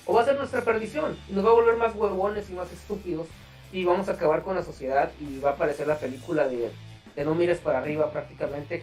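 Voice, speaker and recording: A man's voice: a little high, a little mellow, far from the mic, poor audio. A man with a Mexican accent hosting a podcast in a casual, slangy, profane way. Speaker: podcast host.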